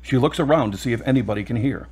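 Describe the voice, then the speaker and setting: A man with a husky voice reading scripted narration for an audio drama. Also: medium pitch, very fast, darkish tone.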